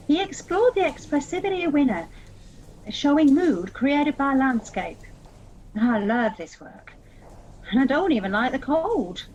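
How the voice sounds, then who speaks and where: A woman acting in an audio play as a chatty, self-deprecating tourist, on a lo-fi recording. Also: a little high. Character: chatty American woman tourist, art lover, self-mocking, searching for sister. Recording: dull lo-fi sound, faint noise.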